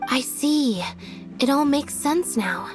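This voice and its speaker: A woman playing a composed, sharply logical detective in a video game, explaining in a measured way. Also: high-pitched, expressive. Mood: calm deduction. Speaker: detective character. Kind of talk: video game story voice acting. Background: faint music.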